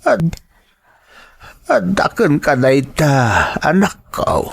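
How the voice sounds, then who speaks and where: A man's voice: fairly high pitch, lively intonation, takes it slowly, vocal fry, husky, heavily acted. A man with a Filipino accent playing a caring, worried older father in a radio drama, plaintive and tender.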